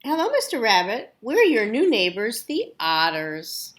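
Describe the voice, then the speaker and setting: A woman's voice: a little high, wildly swooping pitch, unhurried. A woman voicing a cheery, polite storybook otter in a singsong, courteous manner. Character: cheery, polite otters greeting their new neighbor.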